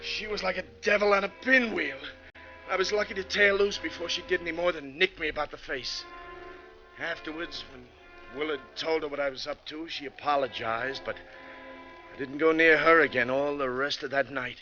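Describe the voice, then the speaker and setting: An actor playing a tough, wry bus driver who narrates a thriller in colloquial old-time radio style. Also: male voice, on the high side, fairly even intonation, moderate pace, sharp tone, highs cut off. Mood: tense recounting. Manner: colloquial, hard-boiled storytelling. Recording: thin old radio recording, music behind.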